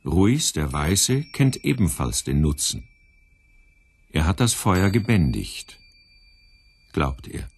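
A man narrating a scripted radio programme in a husky voice. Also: low-pitched, sing-song, takes it slowly, long pauses.

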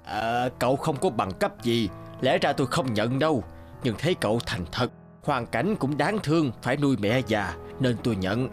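An actor playing a plain-spoken, kindly middle-aged garage boss in a cartoon, speaking in a measured way. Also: male voice, medium pitch, expressive, soft background music, lightly acted. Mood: stern but kind. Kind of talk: dubbed cartoon moral tale.

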